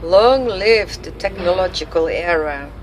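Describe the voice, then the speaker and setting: A woman's voice: lowish voice, expressive, unhurried, a little hiss or hum, rough recording. A woman voicing a friendly, sarcastic male office worker with a wry, casual manner.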